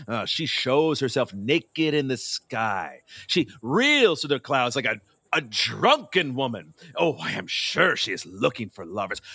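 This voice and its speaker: Husky, wildly swooping decadent king. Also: mid-range voice, bright, forceful tone, dry recording, heavily acted. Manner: volatile, swooping between musing and alarmed exclamation. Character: decadent, superstitious, capricious male ruler. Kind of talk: theatrical audio drama acting.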